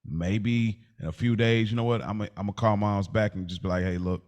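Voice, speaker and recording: An American man co-hosting a podcast, debating casually and probing with questions, often rephrasing in a halting way. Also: lowish voice, fairly even intonation, a little mellow. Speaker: podcast co-host.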